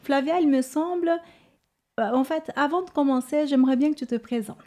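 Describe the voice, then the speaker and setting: A woman with a fairly high, very mellow voice hosts an interview show. She presents informally and follows along attentively as she asks questions. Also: fairly quick. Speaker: host. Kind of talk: programme introduction and interview. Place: studio set.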